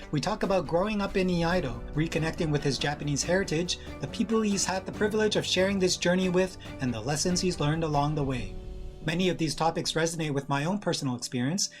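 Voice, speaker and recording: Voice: mid-range voice, quick pace, soft background music. A podcast host reading a scripted introduction and then asking easygoing questions. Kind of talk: podcast interview.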